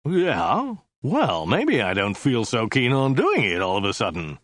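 A man voicing a brash, flippant two-headed alien who talks loud and slangy. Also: medium pitch, huge pitch swings, measured pace, no echo, clean studio sound. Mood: indignant. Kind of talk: audiobook character voice.

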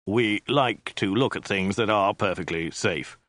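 A man voicing a cold, patient government official who speaks in a prim, measured way. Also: lowish voice, a bit bright, dry recording. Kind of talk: audiobook character voice.

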